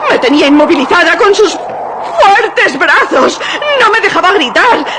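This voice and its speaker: An actress playing a cunning unfaithful wife who feigns innocence in a melodramatic radio drama, pleading breathlessly. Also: female voice, very high-pitched, expressive, moderate pace. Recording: noisy radio drama track.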